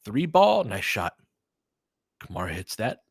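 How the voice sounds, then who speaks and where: A man's voice: fairly low pitch, sing-song, measured pace, gravelly, slightly rough, dry recording, studio quality. A man doing casual live basketball play-by-play in short, clipped phrases, with informal asides as a fan would make. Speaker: play-by-play commentator and streamer.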